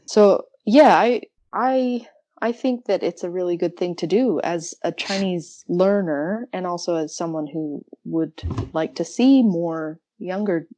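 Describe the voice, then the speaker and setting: Giggly, reflective woman with a lowish voice. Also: measured pace, mellow, a little hiss or hum. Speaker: podcast guest. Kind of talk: casual literary discussion.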